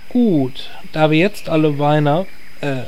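A man's voice: fairly high pitch, measured pace, darkish tone, clear, resonant tone, lightly acted. A man playing a sardonic, exasperated guild leader in a parody audio drama, formal and long-winded. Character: dry, exasperated male guild leader, transformed druid.

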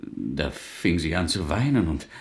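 An actor playing a dejected, halting man with a gravelly voice in a German radio drama. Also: extremely low pitch, sing-song, heavily acted.

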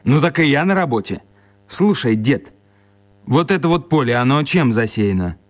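Comic radio role: chubby young saboteur played by a man, medium-pitched, frank and earnest about his trade. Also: lively intonation, moderate pace, natural voice. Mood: businesslike. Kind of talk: radio play acting.

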